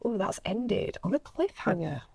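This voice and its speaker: A woman's voice: medium pitch, very animated, a little slow, creaky, darkish tone, breathy edge. A woman chatting casually and informally as an actress on a podcast. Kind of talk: casual chat.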